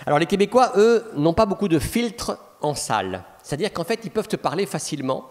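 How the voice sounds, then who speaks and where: A Belgian man with a Belgian French accent, a humorist telling relaxed anecdotes to an audience, talking in a chatty way with many restarts. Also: high-pitched, fairly quick. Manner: chatty, frequent restarts and "voilà". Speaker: guest speaker, humorist. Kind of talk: relaxed anecdotal talk answering questions. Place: lecture hall with audience. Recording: long hall reverb.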